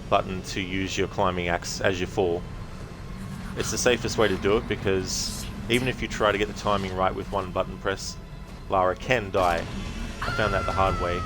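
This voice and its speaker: A man giving casual gameplay commentary as a live streamer. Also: deep voice. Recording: loud game noise behind voice.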